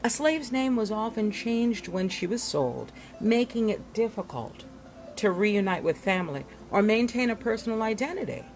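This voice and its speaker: A woman reading a nonfiction book aloud in a dramatic, deliberate way, leaning on words and leaving emphatic pauses. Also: medium pitch, animated, a little mellow, soft background music. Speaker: book reader.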